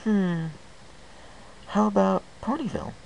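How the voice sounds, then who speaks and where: Man voicing a flustered young mare, pitched up high. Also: expressive, unhurried, gravelly, a little mellow, full character voice, low-quality recording. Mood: helpful. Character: concerned, flustered young female pony ticket seller. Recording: hissy amateur home recording.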